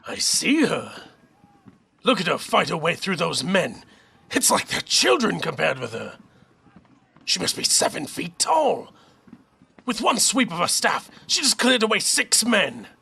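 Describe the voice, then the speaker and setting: A hot-blooded, martial fortress captain, played by a man, speaks in a very husky, rough voice. It is pitched high for a man and is bright and forceful, swinging widely as in a dramatic audio play. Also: huge pitch swings, unhurried, long pauses, heavily acted. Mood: tense, awed. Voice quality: gravelly, raspy. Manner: forceful, barking orders.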